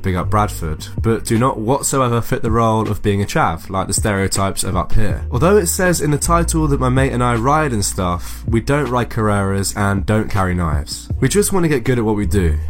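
A man narrating, reading online stories aloud. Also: medium pitch. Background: background music.

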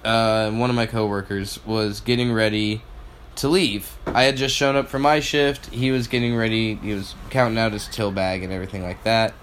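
A man's voice: lowish voice, steady tone, moderate pace, fairly bright tone, slight background noise. A young man co-hosting a podcast, telling stories in a casual, rambling, sweary way. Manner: profane, filler-heavy storytelling. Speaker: podcast co-host. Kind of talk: casual chat.

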